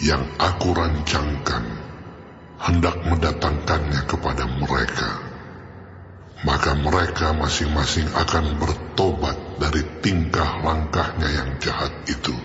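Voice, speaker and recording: God, very deep voice, slow and grave, long hall echo, music behind. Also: male voice, fairly bright tone, full character voice. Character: God speaking, vast deep solemn male voice. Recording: echoing hall reverb, muffled.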